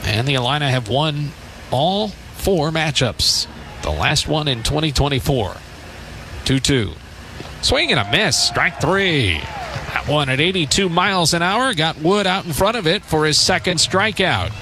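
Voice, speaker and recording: Higher-voiced man calling baseball over clear noise. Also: animated, pushed and bright. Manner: unhurried between pitches, lifting on action. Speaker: baseball play-by-play announcer. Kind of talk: live radio sports commentary. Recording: clearly noisy outdoor broadcast ambience.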